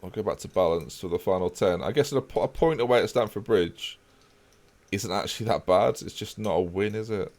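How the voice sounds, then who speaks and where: A man's voice: on the low side, a little fast. A man casually chatting with his live-stream viewers while playing a football management game. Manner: relaxed, chatty, talks to chat while playing.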